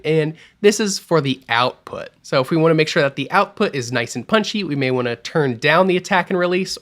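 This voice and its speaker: A man teaching a music software tutorial in a relaxed, explanatory voice-over, offering mild opinions as he goes. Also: fairly high pitch. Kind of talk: screen-recorded software tutorial. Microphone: voice-over over screen capture.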